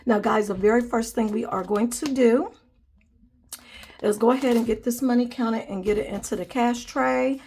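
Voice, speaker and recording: A woman's voice: fairly high pitch, normal speed, a little mellow, slight background noise. A young woman hosting a budgeting vlog, chatting casually and counting cash aloud, sounding nasal from a cold. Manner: friendly, calls viewers "guys", counts money aloud. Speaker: budgeting channel host.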